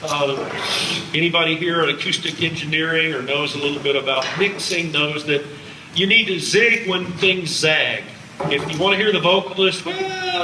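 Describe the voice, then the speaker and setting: An older American man giving a public lecture with slides, dropping wry, jokey asides. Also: a little high. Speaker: music college lecturer. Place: museum lecture room. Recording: echoey hall, distant, faint background noise.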